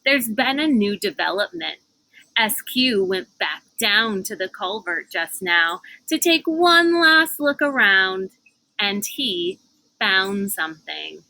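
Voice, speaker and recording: A woman's voice: medium pitch, expressive, slow, bright, forceful tone, full character voice, rough recording. A woman voicing a pushy older girl from a storybook, smug and taunting. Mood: smug, gloating. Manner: gloating, taunting. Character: smug, pushy older girl who bullies younger children. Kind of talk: storybook character voice.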